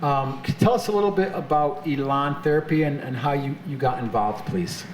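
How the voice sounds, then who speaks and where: Upbeat male host working a live stage crowd, mid pitch, roomy sound. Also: a little fast. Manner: upbeat, chatty, many fillers. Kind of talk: live show hosting. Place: off-center stage of an arts center, live audience. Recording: echoey room with faint noise.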